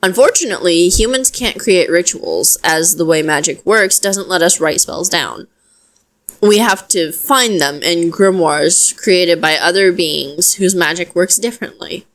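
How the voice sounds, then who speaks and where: A woman playing a chatty, flustered young wizard in an audio roleplay, speaking straight to the listener in a hesitant, expressive, conversational way. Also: fairly low pitch, measured pace, lightly acted. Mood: earnest explaining. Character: flustered young female wizard, chatty, earnest, self-deprecating.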